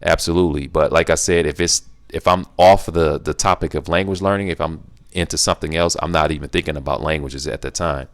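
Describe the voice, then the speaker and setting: A man's voice: extremely low pitch, steady tone, brisk, no echo. A man hosting a casual solo Q&A video, rambling and restarting his sentences in a laid-back, playful way. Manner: rambling, repeats himself, restarts often. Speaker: YouTube Q&A host.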